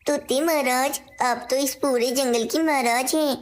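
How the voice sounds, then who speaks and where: Woman as a very high-voiced little cartoon bird. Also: fairly even intonation, a little slow, slight background noise. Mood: obliging. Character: obliging, respectful small female bird. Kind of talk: Hindi cartoon voice acting.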